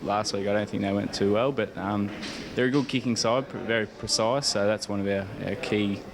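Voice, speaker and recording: Young Australian male athlete, lowish voice, casual halting interview answers, clear crowd noise behind. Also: fairly even intonation. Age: young adult. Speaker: Australian rules footballer being interviewed.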